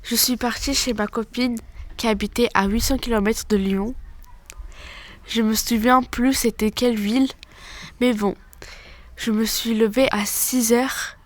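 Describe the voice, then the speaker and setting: A teenage girl from Armenia reading her own account aloud, plain and matter-of-fact. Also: on the high side. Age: teens. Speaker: student reading her text. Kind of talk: read-aloud personal account.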